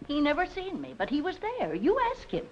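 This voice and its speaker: A woman's voice: sits high, huge pitch swings, a little fast, background noise. An actress in a 1940s film playing a talkative, indignant working-class woman with colloquial grammar and a dramatic way of speaking. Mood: dramatic recounting. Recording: dull 1940s film soundtrack with music.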